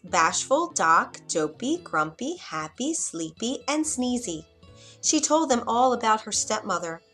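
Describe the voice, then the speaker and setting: A woman's voice: fairly high pitch, a bit bright. A woman storyteller reading a scripted bedtime fairytale for children.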